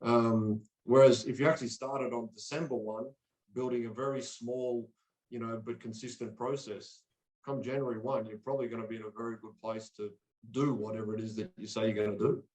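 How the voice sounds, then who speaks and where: An earnest man from Australia talks like a motivational coach, often doubling words as he gets going. His voice sits at an ordinary pitch. The room around him is echoey. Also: little pitch movement, a little fast, a little mellow. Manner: earnest, motivational, repeats words when starting phrases. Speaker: podcast guest, coach. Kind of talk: conversational interview.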